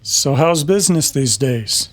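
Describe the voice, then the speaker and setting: A man's voice: on the high side, expressive, unhurried, voice pushed up, rough recording. A man playing a laconic, casual cowboy back from the trail in an old-time Western radio drama. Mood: probing.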